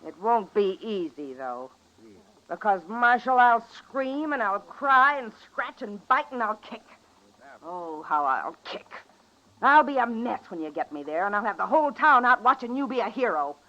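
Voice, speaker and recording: A woman's voice: on the high side, very animated, moderate pace, bright, forceful tone. An actress playing a defiant, taunting frontier woman, insolent and teasing in a 1950s western radio drama. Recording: dull, noisy vintage radio recording.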